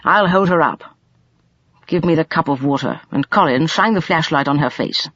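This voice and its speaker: A woman's voice: medium pitch, big pitch swings, moderate pace, slightly rough, no room sound, dull audio, pitched above the performer's own voice. A woman voicing a practical, firm older uncle in an audiobook novel, speaking earnestly and with emphasis.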